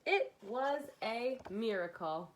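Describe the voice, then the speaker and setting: A woman reading a picture book aloud to young children in an expressive, dramatic way, like a kindergarten teacher with playful asides. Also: fairly high pitch, slow, a little hiss or hum, rough recording.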